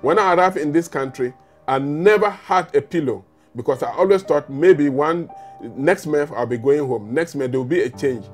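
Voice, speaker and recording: A man's voice: on the high side, moderate pace, faint music behind. Earnest man. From Ghana. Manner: earnest, articulate, some repetition. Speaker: interviewee, former refugee. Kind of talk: documentary interview testimony.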